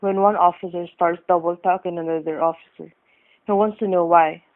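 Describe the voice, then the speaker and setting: A man's voice: sits high, steady tone, fairly quick, narrow, tinny audio. An actor playing a breezy, slangy young policeman in a vintage radio thriller. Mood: pleased, wry. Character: brisk, admiring young policeman nephew. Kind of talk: vintage radio drama acting. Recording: thin dry old radio recording.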